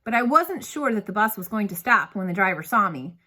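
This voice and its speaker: A woman reads a story aloud as narrator, with expressive and dramatic phrasing. Her voice is bright and forceful, sitting at a medium pitch. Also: a little fast.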